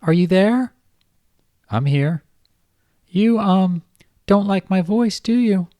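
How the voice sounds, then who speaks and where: A man voicing a young man who fakes a teenage girl's voice, babyish and high with a hint of manliness, hesitant and full of filler words. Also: lively intonation, slow pace, warm tone, no echo. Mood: coy. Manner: hesitant, lots of um and like.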